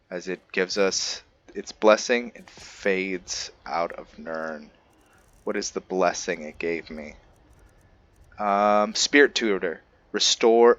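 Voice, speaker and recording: A man with a fairly deep voice talks through his game at a very unhurried pace. He is laid-back and casual, musing aloud as a let's-play host does. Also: fairly bright tone, highs cut off. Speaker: host of a video game roleplay series.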